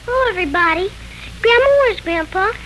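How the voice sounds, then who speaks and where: A small girl in a 1940s radio family drama, with a very high, childlike voice. She is busy, forthright and literal, heard through faint vintage radio noise. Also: animated, a little fast, a bit bright. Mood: curious. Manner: matter-of-fact, childlike, forthright. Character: busy, literal-minded little girl, blunt and curious. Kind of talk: 1940s radio serial acting. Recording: noisy 1940s radio recording.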